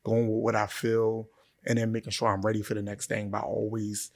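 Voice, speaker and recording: A man's voice: on the low side, monotone, a little fast, a little mellow. Middle-aged man in casual interview chat. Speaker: interview guest, singer-songwriter.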